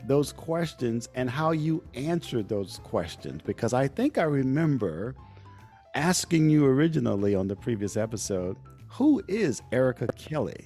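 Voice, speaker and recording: A man's voice: mid-range voice, darkish tone. A man hosting a podcast, talking in a gracious, complimentary conversational interview style. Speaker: podcast host.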